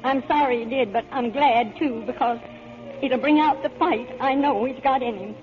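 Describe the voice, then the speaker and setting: A woman's voice: sits high, moderate pace, slight background noise, natural voice. An actress playing a tender, plain-spoken widowed mother of grown sons, in 1940s radio drama style. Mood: wistful, proud. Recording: thin, narrow-band old radio broadcast.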